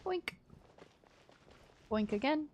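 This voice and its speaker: A woman's voice: high voice, animated, very slow, muffled recording, slight background noise. A woman chatting casually and playfully on a game stream, often murmuring little sound words to herself. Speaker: live streamer. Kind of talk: casual gameplay stream chat. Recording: game music behind the voice. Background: soft video game background music.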